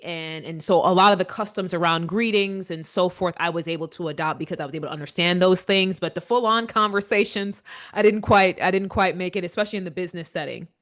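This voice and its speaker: A woman's voice: lowish voice, a bit bright. A woman, a leadership coach, talking earnestly as a podcast guest and often restarting her sentences. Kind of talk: casual interview chat.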